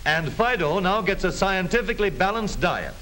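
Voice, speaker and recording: Hissy 1930s newsreel man, high lively voice. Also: normal speed. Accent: American. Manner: jaunty, folksy showmanship. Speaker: newsreel narrator. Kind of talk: 1930s newsreel scripted narration. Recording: hissy, noisy 1930s film soundtrack.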